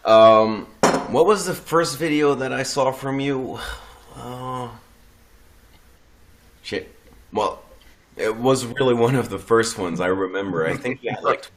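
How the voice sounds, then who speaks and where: A man's voice: medium pitch, slow pace, pauses often. A man from the Netherlands chatting casually as a guest, hesitant, often restarting his sentences and swearing offhandedly. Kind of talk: casual chat.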